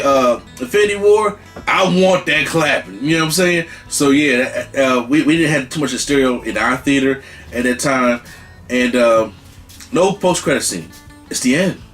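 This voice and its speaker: A man's voice: mid-range voice, fairly bright tone. A man hosting a casual movie review, rambling and emphatic, with slang and the odd curse. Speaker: movie reviewer, channel host.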